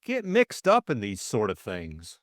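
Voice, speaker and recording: A man's voice: fairly low pitch, huge pitch swings, unhurried, fairly bright tone, no echo, studio quality, full character voice. A man voicing an anxious, earnest upper-class young man in an audiobook drama, hesitant and full of old-fashioned exclamations. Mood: worried, earnest.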